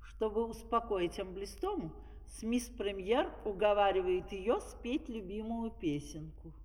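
A woman narrates a children's fairy-tale opera with theatrical, lively intonation. Her voice sits a little back from the microphone in a long, hall-like reverb, as on an old vinyl record. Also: medium pitch, unhurried, far from the mic, slight background noise.

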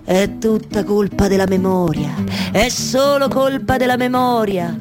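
Una donna che interpreta un re solenne e suadente con accento romano, enfatico e ripetitivo. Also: female voice, mid-range voice, a little slow, loud music behind the voice, lightly acted. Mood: persuasive, solemn. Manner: emphatic, incantatory repetition. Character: solemn, seductive male king urging a guest to forget.

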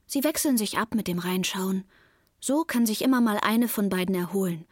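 A woman's voice: mid-range voice, moderate pace, dry recording, clean studio sound, close to an everyday voice. A woman playing a naive, earnest young child who narrates a radio drama in a plain, matter-of-fact way.

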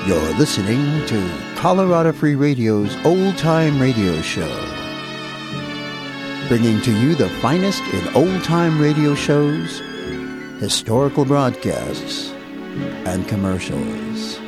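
A man's voice: mid-range voice, sing-song, takes it slowly. A man hosting a radio show, reading a scripted sign-off and announcements. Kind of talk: scripted show outro narration. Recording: music under the voice. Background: prominent music.

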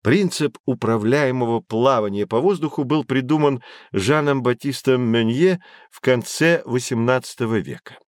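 An actor playing a knowledgeable man who guides children through an educational audio drama, explaining things in a clear didactic way. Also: medium pitch, moderate pace, few pauses, no echo, studio quality, lightly acted. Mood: patient, explanatory. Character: knowledgeable male teacher guiding children on adventures. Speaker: guide explaining history and technology.

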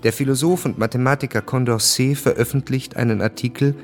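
A man's voice: mid-range voice, fairly even intonation, fairly quick, lightly acted. Actor as sober male chronicler, faint music. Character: sober chronicler of revolutionary history. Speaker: narrator. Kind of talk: scripted narration in a historical radio drama.

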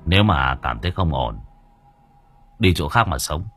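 A man voicing a gruff hired thug leader who speaks curtly and low. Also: expressive, normal speed, soft background music, heavily acted. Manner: curt, low and quiet.

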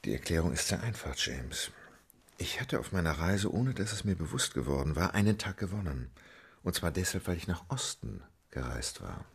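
Very deep, composed English gentleman. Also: lively intonation, slightly rough, exaggerated voice. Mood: calm, matter-of-fact. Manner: formal, measured, courteous. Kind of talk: German radio play acting.

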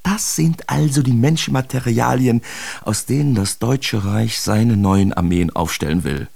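A man's voice: mid-range voice, unhurried, creaky, darkish tone. An actor playing a bitter, sardonic young German artist reading his letters in a radio drama, theatrical and sharply emphatic. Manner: theatrical, sarcastic, emphatic enunciation. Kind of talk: literary radio drama monologue, letters read aloud.

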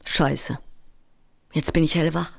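A woman's voice: sits low, steady tone, gravelly, exaggerated voice. A woman playing a sleepless, frantic stage actress on the verge of breakdown, in an overwrought theatrical monologue. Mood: anxious muttering. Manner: overwrought, dramatic, deliberate delivery. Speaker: actress in a solo stage play. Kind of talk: theatrical monologue, shifting between declaimed recitation and muttering. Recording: loud music under the voice. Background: loud music.

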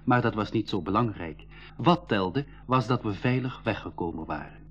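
A man's voice: lowish voice, expressive, natural voice. A man playing a sensible, earnest boy who tells his adventure in a 1980s school radio play. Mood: earnest narrating. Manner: measured, earnest storytelling. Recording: dull, band-limited old radio recording.

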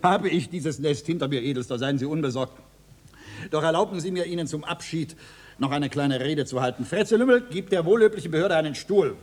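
An actor playing a mocking, learned doctor who speaks with grandiloquent mock-courtesy in a German radio play. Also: male voice, on the high side, a little fast, a little mellow, slight background noise, lightly acted. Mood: mocking, oratorical. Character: mocking, swaggering, learned male doctor and satirist.

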